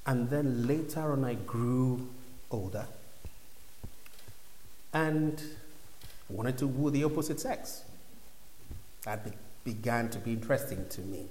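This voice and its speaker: A man's voice: mid-range voice, slow pace, long pauses, a little mellow. Nigerian-born man testifying in an echoey hall. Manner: reflective, conversational, drops to near whispers. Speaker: church speaker giving a testimony. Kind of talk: personal testimony to a congregation. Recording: echoey hall with long reverb.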